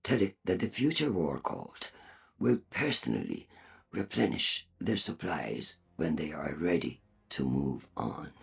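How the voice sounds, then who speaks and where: A woman playing a stern robot faction leader in a game, speaking formally and deliberately in a husky, gravelly voice. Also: very deep voice, full character voice. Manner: deliberate, formal, coolly persuasive. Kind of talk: dramatic game monologue.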